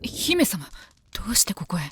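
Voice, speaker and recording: A woman playing a composed, devoted female knight of common birth in a Japanese voice drama, speaking calmly, politely and softly. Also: mid-range voice, moderate pace, slightly rough, in a whisper, heavily acted. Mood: guarded, surprised. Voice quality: calm, composed. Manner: polite, deferential, soft-spoken. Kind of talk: Japanese voice drama, intimate scripted dialogue.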